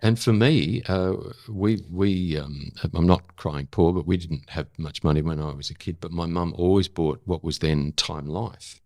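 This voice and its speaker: Deep-voiced man chatting reflectively. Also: unhurried, few pauses, a little mellow, dry recording, clean studio sound. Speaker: interview guest, photographer and former broadcaster. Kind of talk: casual interview chat.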